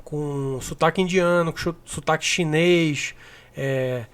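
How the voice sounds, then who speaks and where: Dude with a pretty high voice, laid back, lots of é and né. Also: male voice, slow pace, clean tone. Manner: relaxed, fills with é and né. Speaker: podcast co-host. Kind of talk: casual chat.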